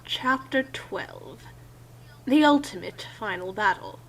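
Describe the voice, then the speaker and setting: A woman's voice: mid-range voice, expressive, takes it slowly, husky, rough recording. A woman narrating a story aloud in a dramatic reading, breaking off for casual commentary.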